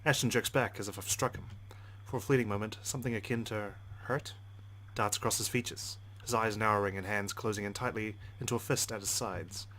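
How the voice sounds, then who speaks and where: A young man hosts a let's play, reading narration aloud and dropping in casual reactions. His voice is fairly low and he moves through the text quickly, with faint noise in the background. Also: fairly even intonation, sharp tone, rough recording.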